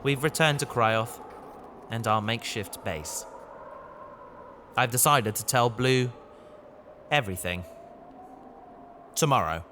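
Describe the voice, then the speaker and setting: A man's voice: mid-range voice, lively intonation, slow pace, long pauses, bright, forceful tone, slight background noise. A man playing an earnest, determined human spacefarer in a science fiction audio drama, sincere and slightly awkward. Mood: reflective.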